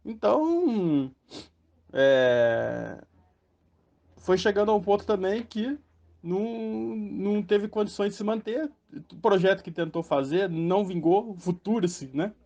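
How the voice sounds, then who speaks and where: A man's voice: sits high, lively intonation, measured pace, vocal fry, mellow. A Brazilian man hosting a podcast, rambling through casual commentary with many fillers and tag questions. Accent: Brazilian Portuguese. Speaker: podcast host. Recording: muffled lo-fi sound.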